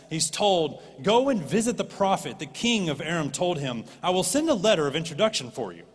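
A man preaching a sermon as a pastor, animated and rising to impassioned pleading. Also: on the high side. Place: church stage. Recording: long reverb of a church hall.

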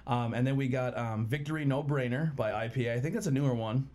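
A man hosting a casual podcast over beers, talking in a relaxed, rambling way with lots of fillers. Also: mid-range voice, fast. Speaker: podcast host.

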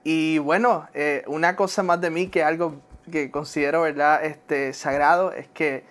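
A young man with a Puerto Rican Spanish accent, talking as an interview guest. He speaks hesitantly and warmly, keeps asking "¿verdad?", laughs easily and drops English words into his Spanish. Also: fairly high pitch, fairly even intonation, a little slow. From born in New Jersey, raised in Puerto Rico. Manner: hesitant, many "¿verdad?" tags, laughs often, mixes in English. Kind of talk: casual interview.

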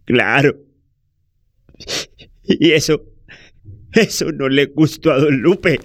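An actor playing a weary old peasant father in a radio drama, haunted and self-justifying, speaking haltingly and ruminatively. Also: on the high side. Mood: bitter, defensive. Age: older. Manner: slow, halting, ruminative delivery. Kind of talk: dramatic monologue, radio play acting. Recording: roomy echo, faint music behind.

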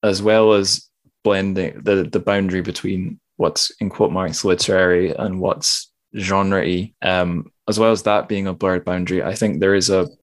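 A man's voice: sits low, level tone, moderate pace. A man hosting a casual interview, speaking tentatively and searching for his words. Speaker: podcast host.

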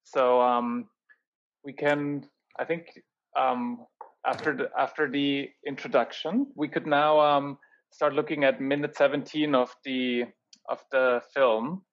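A man who is not a native English speaker, hosting a casual discussion in a measured, soft-spoken way with frequent fillers. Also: medium pitch, little pitch movement, dull audio. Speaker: host.

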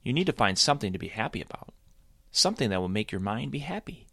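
A man's voice: lowish voice, very animated, quick pace, creaky, pushed and bright, breathy edge, dry recording, studio quality, full character voice. A man voicing a cheerful, always-happy male bunny who talks in an eager, encouraging way.

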